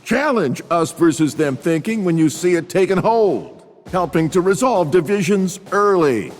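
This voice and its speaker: A man voicing a commanding male drill sergeant who lectures recruits point by point and shouts his orders. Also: high voice, lively intonation, moderate pace, in a large hall, over music. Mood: barking.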